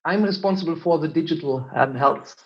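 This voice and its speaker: A man's voice: a little high, fairly quick, muffled recording. A man from Germany with a German accent, answering interview questions as a marketing director, explaining patiently with frequent fillers. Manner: explanatory, many fillers and "look".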